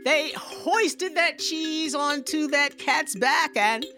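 A woman performs a children's tale in a high voice at a slow pace. She leans on rhythmic repetition and playful emphasis, with faint music present. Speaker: storyteller. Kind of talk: animated oral storytelling for children.

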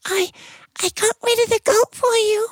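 A man voicing a teeny, polite and helpful little ant with a tiny squeaky voice in a told story. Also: steady tone, normal speed, no echo. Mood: modest, polite. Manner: timid, modest, earnest.

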